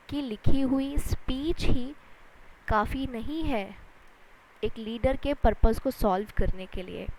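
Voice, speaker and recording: A woman with an Indian accent giving an instructional lecture, explaining deliberately and with emphasis as a teacher does. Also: a little high, darkish tone, slight background noise, rough recording. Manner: deliberate, emphatic, explanatory, repeats points in synonyms. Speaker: English lecturer.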